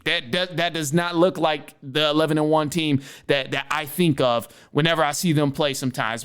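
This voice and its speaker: A man with a high-pitched voice hosts a sports show. He talks casually and with strong opinions, using slang and repeating phrases to drive points home. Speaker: sports podcast host.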